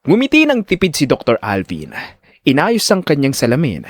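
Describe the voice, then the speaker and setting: A man's voice: a little high, huge pitch swings, moderate pace, slightly rough, dry recording. A man giving dramatic scripted narration of a story.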